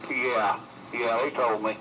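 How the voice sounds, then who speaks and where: A man's voice: medium pitch, flat delivery, slow pace. A man with a Southern US accent chatting casually over a CB radio transmission. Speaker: CB radio operator. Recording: dull, noisy CB radio signal.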